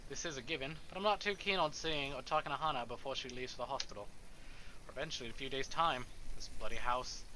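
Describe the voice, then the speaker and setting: Very bright, theatrical male narrator. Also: mid-range voice, quick pace, poor audio. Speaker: streamer reading a visual novel aloud. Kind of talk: dramatic narration of a character's inner monologue. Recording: faint background noise.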